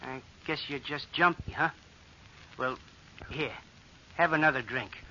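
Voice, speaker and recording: An actor playing a nosy, familiar barroom fellow with slangy, insistent talk in an old radio drama. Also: male voice, mid-range voice, measured pace, pushed and bright, a little hiss or hum. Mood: brash, chummy. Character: nosy, chummy tough-talking barroom fellow. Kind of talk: 1940s radio drama acting. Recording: muffled old radio recording.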